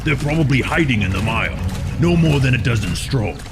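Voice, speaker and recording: A man's voice: mid-range voice, expressive, brisk, close to an everyday voice. A man voicing a grim, resolute soldier scout in a fantasy game, with music behind.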